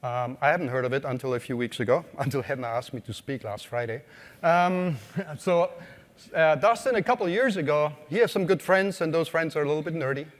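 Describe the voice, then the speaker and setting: A man with an Austrian accent delivers a conference keynote in a slightly high voice. He talks casually, quizzes the audience and fills pauses with ums. A long reverb from the hall hangs on every phrase. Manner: conversational, asks the audience questions, frequent uh fillers. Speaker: conference speaker. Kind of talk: keynote talk to a live audience.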